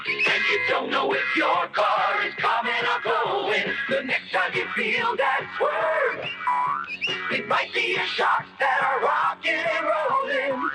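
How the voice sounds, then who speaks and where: High, belting woman jingle singer. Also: expressive, slow pace, shouting, loud music behind the voice. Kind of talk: sung advertising jingle. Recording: 1970s radio broadcast.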